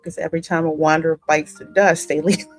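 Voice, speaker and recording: A woman's voice: low-pitched, flat delivery. A woman hosting a gaming channel, narrating a story game and adding her own spoken asides. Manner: reads narration slowly, reacting aloud in asides. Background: music behind the voice.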